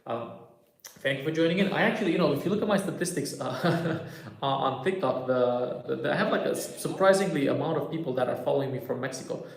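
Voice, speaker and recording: Fast-talking man in echoey room. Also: medium pitch, gravelly. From Romania. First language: Romanian. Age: 30s. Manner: chatty, answering viewer comments as he goes. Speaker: stand-up comedian hosting a livestream. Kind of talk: casual livestream chat.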